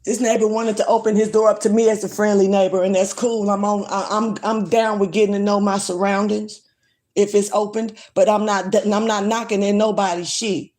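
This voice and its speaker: A woman's voice: medium pitch, little pitch movement, a little fast, darkish tone. Blunt, foul-mouthed woman venting on a livestream. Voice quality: strong voice. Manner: blunt, streetwise, swears freely. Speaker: livestream vlogger. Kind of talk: casual rant to camera.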